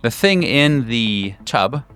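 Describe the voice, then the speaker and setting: A man narrating a horror tabletop game as game master in a measured, deliberate way. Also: mid-range voice, expressive, a bit bright, faint music behind.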